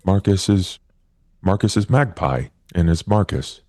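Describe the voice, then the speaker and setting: Performed winged male being, deep, husky, innocent, literal-minded, slow, tabletop game roleplay. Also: expressive, dark tone, no room sound, studio quality. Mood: solemn, naive. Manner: slow, literal, childlike phrasing.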